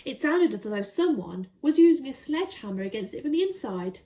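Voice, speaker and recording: A woman reading a children's story aloud expressively, with dramatic, deliberate emphasis. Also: sits high, sing-song, heavy background noise.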